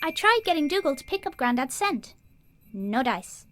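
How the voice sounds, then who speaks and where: A woman's voice: normal speed, slight background noise. A woman voicing a curious, adventurous little girl in a video game, innocent and chatty. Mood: playful. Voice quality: high, lively childlike voice.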